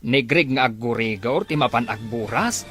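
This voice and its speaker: A man's voice: medium pitch, normal speed, music in the background, lightly acted. A middle-aged man with an Ilocano accent playing a stern, weary farmer father in an amateur family drama, scolding in exasperation. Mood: exasperated scolding.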